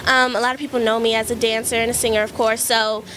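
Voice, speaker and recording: A young woman singer answering interview questions in a relaxed, joking way with lots of ums. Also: on the high side, fast, a little hiss or hum, poor audio. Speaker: performing artist. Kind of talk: casual interview. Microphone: interviewer's handheld mic.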